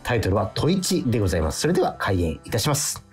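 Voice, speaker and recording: A man's voice: on the low side, big pitch swings, fast talker, faint music behind. A man hosting a radio show in casual, polite chat. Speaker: radio host.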